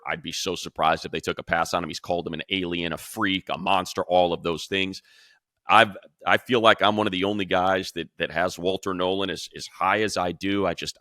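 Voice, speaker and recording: A man's voice: deep voice, bright, forceful tone, no echo, clean studio sound. A man speaking as a sports show host, giving casual, conversational analysis in an emphatic, rambling way.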